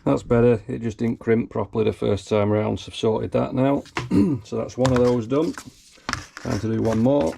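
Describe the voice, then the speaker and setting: A man with a slightly croaky voice, casually talking himself through wiring a car in his garage. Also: mid-range voice, steady tone, normal speed, mellow, a little hiss or hum. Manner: talks himself through each step, thinking aloud. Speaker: car hobbyist. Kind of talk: casual workshop commentary. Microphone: camera mic close to his hands.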